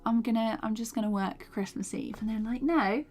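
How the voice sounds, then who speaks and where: Young woman, casual stream chat, tired worn voice, laughs often, soft mumbles, home room, desk mic, faint music behind. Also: fairly high pitch, fairly quick. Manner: chatty, laughs often, trails off into soft mumbles while working. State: voice tired from talking all day. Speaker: animation streamer. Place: small home room, indoors. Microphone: desk microphone with foam cover.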